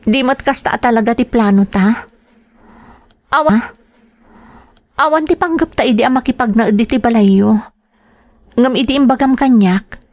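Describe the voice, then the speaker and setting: A woman's voice: a little high, moderate pace, long pauses, no echo, clean studio sound, natural voice. An actress playing a dutiful, apologetic young wife hurt by her in-laws, in a radio-style drama. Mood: hurt, upset. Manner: apologetic, deferential.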